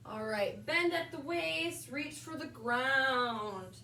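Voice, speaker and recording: Bright-voiced woman fitness instructor, echoey room. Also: high voice, animated, vocal fry, a little hiss or hum. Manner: upbeat instructing cues, brief pauses between moves. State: allergies, slightly nasal. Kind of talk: guided exercise class. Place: large indoor wellness room with wood floor. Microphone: far camera on a tripod. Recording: roomy echo, voice from far off.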